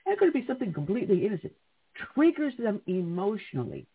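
An opinionated male talk radio co-host tells stories with indignant emphasis. His voice is husky and a little high. It has a thin, phone-like band. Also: huge pitch swings, quick pace. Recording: tinny phone-like sound.

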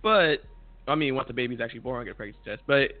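Podcast guy, mid-pitched, losing his voice, easygoing, tinny line. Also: expressive, fast. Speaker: podcast co-host. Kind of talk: casual chat. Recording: tinny phone-like sound.

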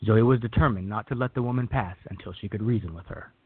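A man's voice: lowish voice, fast talker, husky, no echo. A man narrating a scripted radio drama as a storyteller. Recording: tinny, phone-like narrow band.

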